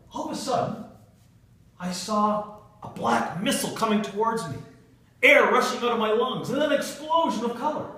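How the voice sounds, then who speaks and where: A man giving a humorous storytelling speech to an audience in a theatrical manner, with dramatic pauses and stretched emphasis. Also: sits high, a bit bright. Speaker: Toastmasters club speaker telling a humorous tale. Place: indoor meeting room with an audience. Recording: echoey room, recorded from far off.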